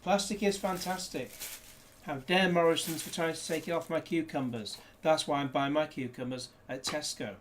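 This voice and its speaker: A man's voice: fairly high pitch, steady tone, normal speed, slight background noise. A man chatting casually through a disc unboxing, rambling with jokey asides, recorded indoors on a desk camera. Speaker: disc reviewer.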